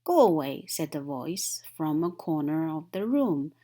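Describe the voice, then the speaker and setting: A woman's voice: low voice, expressive, a little slow, mellow. A woman narrating a children's story from a book, as a read-aloud. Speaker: storybook reader.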